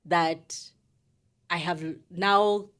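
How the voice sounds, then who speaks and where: A woman's voice: fairly low pitch, fairly even intonation, extremely slow, fairly bright tone. A woman guest, a career coach, speaking reflectively in a casual interview and often murmuring agreement. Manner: reflective, asks herself questions, gives many listening murmurs.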